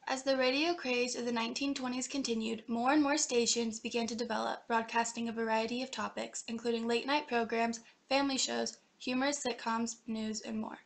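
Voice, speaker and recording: A woman's voice: on the high side, flat delivery, brisk, vocal fry, hardly any pauses. A young woman reading scripted documentary narration for a student history project.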